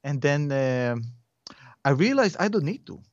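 A man in his forties with a thick Russian accent, a podcast guest talking in a rambling, animated way and laughing often. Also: fairly high pitch, a little slow, no room sound, muffled recording. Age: 40s. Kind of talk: casual interview chat.

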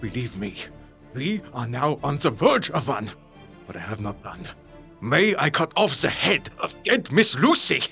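Grave, creaky-voiced professor played by a man, swooping delivery. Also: medium pitch, big pitch swings, measured pace, sharp tone, soft background music, heavily acted. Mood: grave, persuasive. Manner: solemn, emphatic, formal stilted phrasing. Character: grave, insistent older male professor and vampire hunter. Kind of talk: dramatic audio drama acting.

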